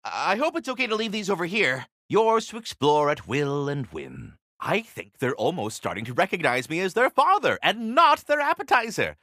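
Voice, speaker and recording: A man's voice: fairly high pitch, huge pitch swings, normal speed, few pauses, pushed and bright, no room sound, studio quality, exaggerated voice. A man voicing a polite, erudite giant squirrel, keeper of a world tree, in a video game, with pompous, florid diction. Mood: apologetic, polite. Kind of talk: scripted video game character dialogue.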